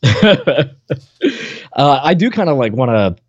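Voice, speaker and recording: A laid-back male co-host who talks haltingly, with lively swings in pitch. The recording is dry and a little muffled, like casual chat on a lo-fi mic. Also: husky, no room sound. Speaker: podcast co-host.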